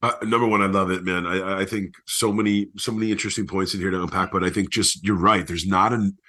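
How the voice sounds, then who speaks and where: Fast, bright, husky male podcast host. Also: deep voice, fairly even intonation. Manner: effusive, informal. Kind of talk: casual interview chat.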